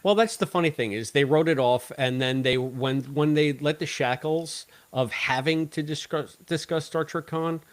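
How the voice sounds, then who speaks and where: A man's voice: a little high, moderate pace, few pauses, no echo. Man co-hosting a podcast, dry close sound. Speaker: podcast co-host. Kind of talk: casual podcast discussion.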